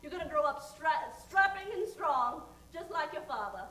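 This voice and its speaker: A woman's voice: high voice, expressive, moderate pace, pushed and bright, far from the mic, a little hiss or hum. A woman playing a doting, self-sacrificing wartime mother in a parody 1940s radio commercial. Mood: cheerful, doting. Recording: roomy stage sound, off-mic.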